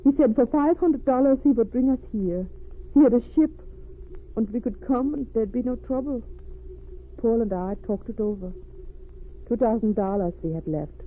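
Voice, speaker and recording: A haunted refugee wife played by a woman tells of her ordeal. Her phrasing has foreign word order, and the grief sits in every pause. It is vintage radio drama, narrow and tinny with a light layer of noise. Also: on the high side, lightly acted. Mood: troubled. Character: distraught refugee wife haunted by a smuggler's crime. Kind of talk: old radio drama acting.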